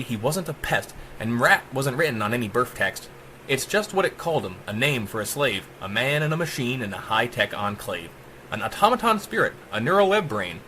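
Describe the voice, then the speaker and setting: Male narrator, medium pitch, bright forceful tone, theatrical rhythmic verse, dramatic audiobook reading, some background noise. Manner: theatrical, emphatic rhythmic delivery.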